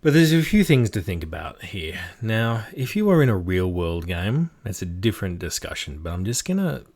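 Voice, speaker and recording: A man's voice: fairly low pitch, sing-song, moderate pace, a little mellow. A man giving a casual tutorial on how to perform characters as a game master. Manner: demonstrative, switches between explanation and voices. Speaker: game master teaching technique.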